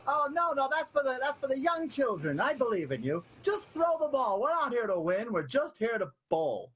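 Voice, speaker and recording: A man's voice: very high voice, sing-song, normal speed, fairly bright tone, a little hiss or hum, full character voice. An actor, a man, playing an earnest, encouraging friend in an improvised radio comedy, rambling reassuringly. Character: earnest, encouraging bowler, gently supportive friend.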